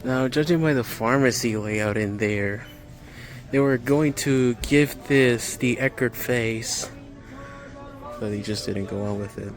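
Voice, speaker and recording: A man's voice: mid-range voice, slow. A man with a mid-range voice talks casually as he films a walk-through tour. He makes unscripted, hobbyist observations as he goes, and music plays faintly somewhere behind him. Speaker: vlogger giving a store tour. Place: inside retail stores, later outside. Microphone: handheld camera. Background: faint store music.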